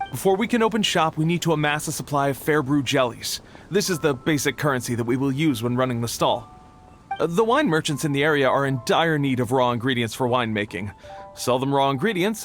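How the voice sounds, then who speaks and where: A man's voice: medium pitch, fairly bright tone, close to an everyday voice. A man playing an earnest, anxious, overly polite young logistics worker in a video game, speaking formally and a little flustered. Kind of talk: video game cutscene acting. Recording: music behind the voice.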